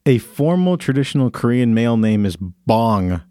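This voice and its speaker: A man's voice: mid-range voice, big pitch swings, normal speed, mellow, smooth, no room sound, clean studio sound. A man hosting a podcast, reading from his phone in a casual, crude way with frequent laughter. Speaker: podcast host. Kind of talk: casual comedic chat.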